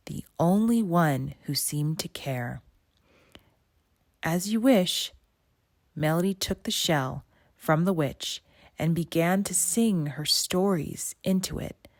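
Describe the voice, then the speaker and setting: A woman reading a children's picture book aloud in an expressive narrating style. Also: deep voice, no room sound, clean studio sound. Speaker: storybook reader.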